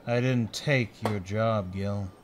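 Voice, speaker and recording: A man's voice: fairly low pitch, slow pace, background noise. A man voicing an earnest, firm middle-aged police commissioner in a comic fan dub, restrained and serious. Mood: tense, firm.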